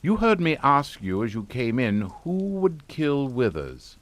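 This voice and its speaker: An actor playing a politely menacing upper-class English father in a radio suspense drama, speaking in a clipped, formal, deliberate way. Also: medium pitch, sing-song, noisy background. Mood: calm menace. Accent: British.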